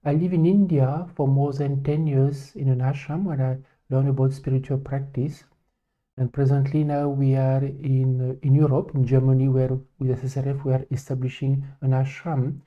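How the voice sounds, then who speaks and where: He is a French spiritual teacher welcoming viewers to a live stream. His voice is strikingly dark and soft-edged, carried by halting, simply phrased English with a French accent. The audio is somewhat muffled and lo-fi. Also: medium pitch, flat delivery. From France. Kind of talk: informal introduction to a live question session.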